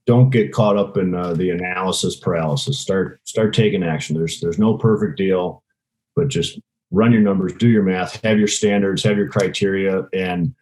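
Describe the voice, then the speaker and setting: A man's voice: sits low, darkish tone, poor audio. A man speaking as a podcast guest and real estate investor, answering conversationally.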